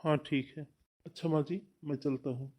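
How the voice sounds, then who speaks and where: A man's voice: fairly high pitch, steady tone, moderate pace, a little mellow, natural voice. A man playing a caring, busy elder brother and husband in a Hindi cartoon moral story. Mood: calm, reassuring.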